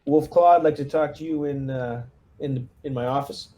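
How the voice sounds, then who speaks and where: A man playing a space marine squad commander in a tabletop roleplaying game, giving orders in a measured, hesitant, businesslike way. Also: mid-range voice, fairly even intonation, mellow, close to an everyday voice. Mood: stern. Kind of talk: in-character tabletop roleplay briefing.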